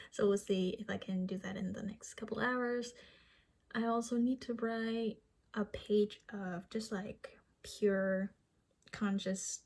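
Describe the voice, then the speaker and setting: A woman's voice: mid-range voice, fairly even intonation, slow, creaky, a little mellow. A young woman whose first language is Vietnamese speaks with a light Vietnamese accent. She talks to the camera in a casual, chatty, self-deprecating way, with restarts and small laughs. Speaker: vlogger.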